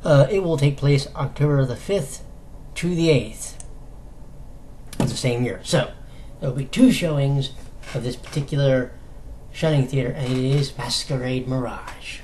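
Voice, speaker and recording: An American man hosts a casual solo news vlog for fans. His delivery is unhurried and halting, conversational and given to rhetorical questions. His pitch sits in a middle range, and a light noise runs behind the voice. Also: a little mellow. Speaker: YouTube host giving fandom news. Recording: noticeable background noise.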